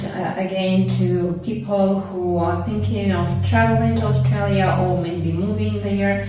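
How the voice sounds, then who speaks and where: A woman English teacher interviewing a student, asking measured, encouraging questions. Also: medium pitch, dark tone. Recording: echoey room, far from mic, faint noise.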